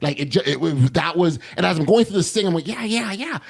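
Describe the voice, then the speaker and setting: Earnest loud-voiced male podcast host. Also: high-pitched, animated, quick pace, creaky, husky. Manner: earnest, many restarts and repeated words. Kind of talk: casual interview chat.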